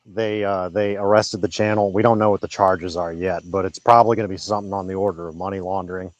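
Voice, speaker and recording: A man's voice: deep voice, steady tone, quick pace, no room sound. A young man co-hosting a livestream, laid-back, reading chat messages aloud and swearing casually. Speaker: livestream co-host.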